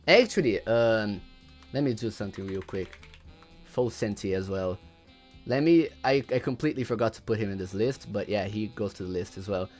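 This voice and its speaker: A man's voice: fairly low pitch, moderate pace, faint music behind. A young man whose first language is Portuguese, rambling through a casual livestream and reading chat aloud, often repeating words.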